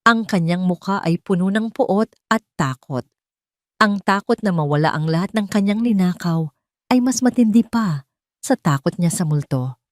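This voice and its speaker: Low-voiced woman narrating drama. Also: lively intonation, moderate pace, dry recording, studio quality. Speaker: audio story narrator. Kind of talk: dramatic scripted first-person narration.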